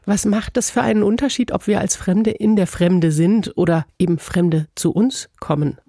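Gravelly, mellow-voiced woman interviewer. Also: mid-range voice, moderate pace, dry recording, clean studio sound. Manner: thoughtful, probing questions. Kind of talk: radio interview questions.

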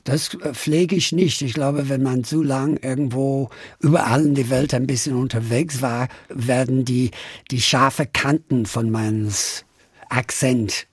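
An older Irish man from Dublin speaks slowly and thoughtfully, his voice husky and a little breathy. He hesitates often, pausing and restarting as he searches for words in a language that is not his first. The recording is dry and close. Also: medium pitch, steady tone, no echo. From Ireland, Dublin. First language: English. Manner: hesitant, many pauses and slips. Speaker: guest singer-songwriter. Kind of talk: radio interview answers.

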